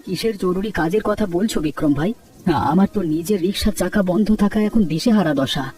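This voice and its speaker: A man's voice: sits high, quick pace, mellow, distant mic, close to an everyday voice. A man voicing a worried, poor village rickshaw puller with a plaintive manner in a cartoon. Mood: anxious, hopeful. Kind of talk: Bengali cartoon dialogue.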